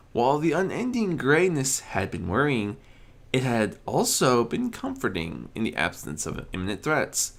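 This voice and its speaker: A narrator reading a fan fiction story aloud in an expressive storytelling style. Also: mid-range voice. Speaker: audiobook narrator.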